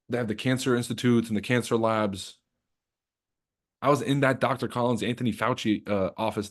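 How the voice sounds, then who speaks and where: A man's voice: mid-range voice, a little mellow. A young man hosting a trading livestream, chatting loosely with his chat, joking and swearing freely.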